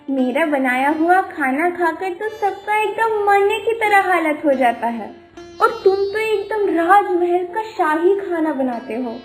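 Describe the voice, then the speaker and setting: Woman acting a very high-pitched, whiny young sister-in-law. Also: exaggerated voice. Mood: sarcastic, annoyed. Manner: complaining, sarcastic, melodramatic. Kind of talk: Hindi animated moral story acting.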